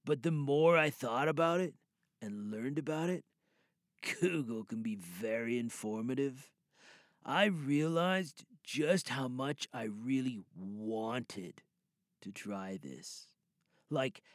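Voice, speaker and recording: A man's voice: mid-range voice, animated, slow pace, no room sound, studio quality, pitched below the performer's own voice. A man playing an earnest, curious newcomer in a hesitant, confiding audio drama monologue.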